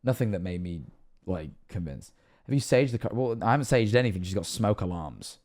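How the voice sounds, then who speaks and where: A young streamer in his twenties talks from his bedroom, his somewhat low voice rough and hoarse as it wears out. He rambles, cuts himself off and lets sentences drop away into mutters. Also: expressive, fairly quick. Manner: rambling, self-interrupting, trails off quietly. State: losing his voice, rough throat. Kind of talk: casual reaction stream chat. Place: bedroom streaming setup indoors. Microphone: headset on a streaming setup.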